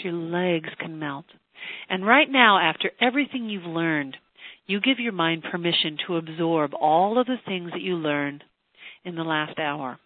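An older teleseminar host and voice coach who chats warmly with listeners, then leads a slow, soothing guided relaxation. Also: mid-range voice, no room sound. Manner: effusive and chatty, turning slow and soothing for a trance induction. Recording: tinny phone line teleseminar, dry.

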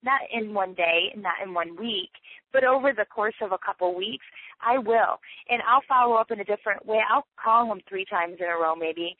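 Chatty woman coaching over a tinny phone line. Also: medium pitch. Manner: emphatic, repetitive, chatty. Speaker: direct-sales team leader. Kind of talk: motivational sales training talk. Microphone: phone call.

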